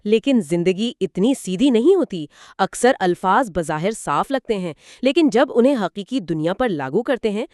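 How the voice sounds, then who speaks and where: A woman's voice: medium pitch, lively intonation, moderate pace, hardly any pauses, darkish tone, dry recording, clean studio sound. A woman co-presenting a scripted explainer dialogue, prompting with questions and summing up points. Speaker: co-presenter asking and summarizing.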